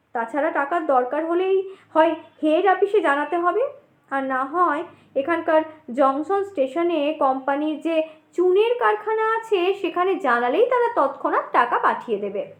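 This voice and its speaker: A woman with a distinctly high voice narrates a scripted ghost story in an expressive storytelling style. The sound is noticeably roomy, with echo around her words.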